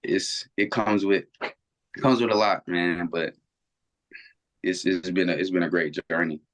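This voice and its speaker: A man's voice: low-pitched, fairly even intonation, measured pace, a bit bright. A man in his twenties, a guest on a video call, talking earnestly and reflectively with many restarts and fillers. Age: 20s. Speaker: interview guest. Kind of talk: reflective casual conversation.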